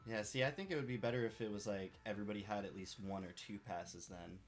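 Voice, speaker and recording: Low-voiced, assertive man in voice chat. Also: fast, faint music behind. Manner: assertive, firm opinions. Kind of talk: casual voice chat discussion.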